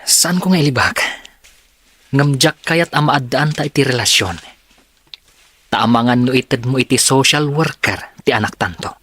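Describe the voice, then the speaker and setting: A man's voice: mid-range voice, normal speed, long pauses, a bit bright, husky. An actor playing an evasive, matter-of-fact working man in an Ilocano radio drama. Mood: guarded, firm.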